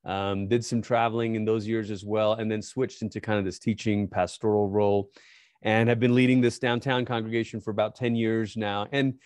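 A pastor in his forties from Malaysia explains his work in an earnest, animated way. He speaks into a studio microphone in a home study. The sound is close and dry. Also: lowish voice, fairly quick, dry recording. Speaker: pastor and author guest. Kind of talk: interview answers. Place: home study with bookshelves, remote. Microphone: boom-arm studio mic.

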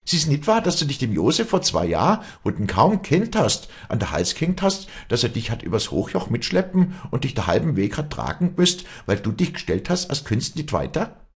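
A man's voice: low-pitched, animated, fairly quick, breathy edge, pitched above the performer's own voice, exaggerated voice. A man voicing a proud, hot-tempered, jealous young peasant woman in Alpine Bavarian dialect, scornful and hectoring, in a storybook reading. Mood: furious accusing.

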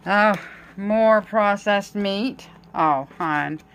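A woman's voice: medium pitch, drawn-out words, fairly bright tone, smooth. A middle-aged woman casually listing items in short phrases for a home vlog, recorded on a handheld phone. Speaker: home vlogger. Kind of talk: casual grocery haul vlog. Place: indoors at home, at a table.

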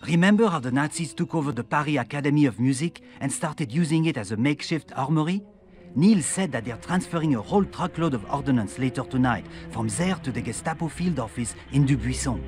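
An actor playing an earnest young French Resistance fighter, quietly businesslike and protective, in scripted game cutscene dialogue. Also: male voice, medium pitch, close to an everyday voice. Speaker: resistance member briefing his sister.

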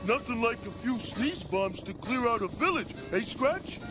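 A man's voice: very high voice, lively intonation, moderate pace, low-quality recording. A man playing a dim, proud robot henchman in a cartoon, talking in a dopey grumble. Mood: smug. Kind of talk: cartoon character acting. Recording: loud music behind, old cartoon soundtrack.

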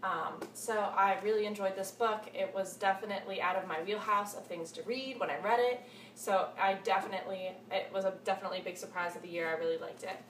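A woman's voice: medium pitch, steady tone, fairly quick, fairly bright tone. A woman in her twenties chatting casually to camera about books, gushing and repeating words for emphasis, recorded in a room at home. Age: 20s. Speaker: book reviewer vlogger. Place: small cluttered room at home, indoors. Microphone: camera mic a short distance away. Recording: echoey room, distant mic, faint hiss.

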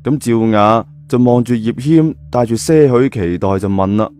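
A man's voice: medium pitch, normal speed, a little mellow, faint music behind. A man with a Cantonese accent telling a story in colloquial, dramatic style, with chatty rhetorical asides.

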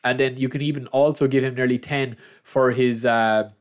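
A man's voice: mid-range voice, steady tone, normal speed. A young man with a head cold that makes him a bit nasal, chatting through a screen-recorded football game guide and calling his viewers lads. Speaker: gaming channel host. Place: at a computer, voice-over of screen recording.

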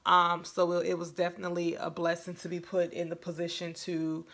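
A woman's voice: on the low side, completely flat delivery, fairly quick, fairly bright tone. A woman in her thirties chatting casually to camera as a vlogger, recorded indoors in a room at home. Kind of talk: casual chat to camera recounting an experience.

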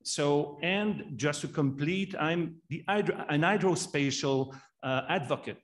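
A man in his sixties from Canada with a French Canadian accent, introducing himself as a panelist at a conference, pausing often with ums. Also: medium pitch. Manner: halting, many ums and uhs. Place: conference room with large window. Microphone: handheld mic.

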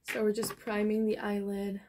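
A woman's voice: mid-range voice, monotone, moderate pace, darkish tone, slight background noise. A young woman chatting casually through a makeup tutorial, rambling with many fillers. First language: Dutch. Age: young adult. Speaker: beauty vlogger. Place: small bedroom, indoors.

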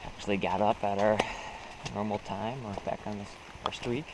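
A young man filming a casual hiking vlog on a forest mountain trail, easygoing and quick to laugh. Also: fairly low pitch, fairly even intonation, heavy background noise. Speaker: thru-hiker vlogger.

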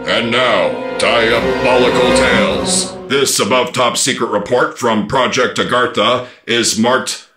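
An actor playing a formal, portentous announcer introducing a 1950s radio drama. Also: male voice, mid-range voice, slow, hardly any pauses. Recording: roomy echo, loud music underneath.

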